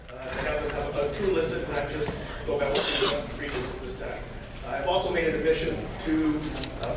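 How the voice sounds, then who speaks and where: A man losing his voice, giving a short campaign speech with many fillers. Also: on the high side. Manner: earnest, halting with fillers. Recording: echoey hall from far off, noisy.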